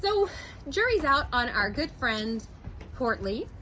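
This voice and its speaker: A woman teacher reads a picture book aloud to young children, taking her time with each line. Her pitch sits a little high and swings widely as she tells the story. A faint hum of room noise stays underneath. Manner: slow, expressive storytelling voice. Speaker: first grade teacher.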